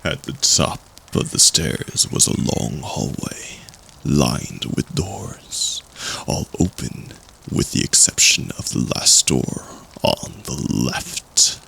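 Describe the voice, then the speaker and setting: Male horror storyteller: low, crackly, hushed and suspenseful, extremely slow with dramatic pauses, faint noise behind. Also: expressive, vocal fry. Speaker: storyteller in an audio roleplay.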